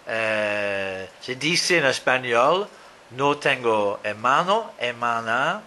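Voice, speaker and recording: Man, slow deliberate questions, lively pitch, faint hiss behind. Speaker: interviewer. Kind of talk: language-practice interview.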